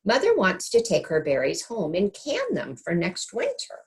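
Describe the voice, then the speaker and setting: Woman reading as practical, gently firm storybook mother, lively intonation. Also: medium pitch, normal speed, voice pushed up. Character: practical, gently firm mother berry-picking with her little daughter.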